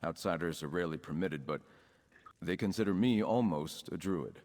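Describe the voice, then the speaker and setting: An actor playing an earnest, composed young nobleman in a video game, speaking in a formal, measured way. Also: low voice, a little mellow, in a large hall, natural voice. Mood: earnest, assured. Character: young nobleman, count's son with druid leanings, earnest and composed. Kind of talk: scripted video game dialogue.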